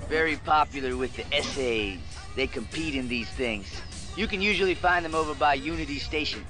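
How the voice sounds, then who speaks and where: An actor playing a helpful, easygoing car mechanic in a video game, casual and obliging. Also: male voice, a little high, expressive, normal speed, music in the background, lightly acted. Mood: friendly. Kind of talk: video game cutscene acting.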